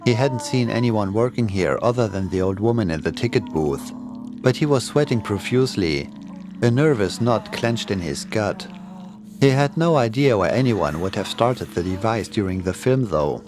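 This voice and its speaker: A man's voice: on the low side, animated, normal speed, noisy background. A man reading a scripted horror story as its narrator.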